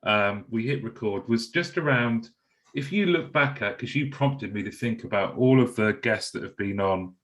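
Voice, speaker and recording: A man's voice: on the low side, moderate pace, a bit bright. A man hosting a podcast, friendly and conversational, asking long, rambling questions. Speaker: podcast host. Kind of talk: podcast interview chat.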